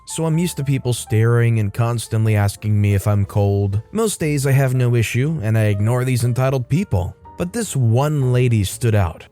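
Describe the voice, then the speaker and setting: A man narrating a Reddit story in a steady, scripted reading voice. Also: mid-range voice. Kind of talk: reading a Reddit post aloud.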